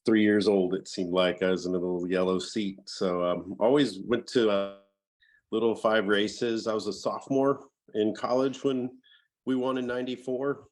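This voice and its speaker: Indiana guy on a video call, lowish voice, chill and jokey, lots of ums. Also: steady tone, normal speed. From Bloomington, Indiana, US. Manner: easygoing, joking, many fillers. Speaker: guest, former racer. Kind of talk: casual interview.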